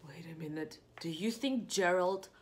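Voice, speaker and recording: A young woman teaching preschoolers through a picture-book read-aloud, asking questions expressively and pausing for answers. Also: fairly low pitch, slight background noise. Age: young adult. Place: small home room indoors.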